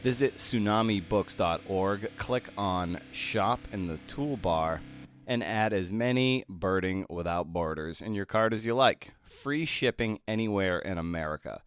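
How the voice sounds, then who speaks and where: A man with a deep-set, low voice hosts a podcast. He presents in the manner of a scripted introduction and interview, with clearly audible guitar music behind him. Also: a little slow. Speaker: podcast host.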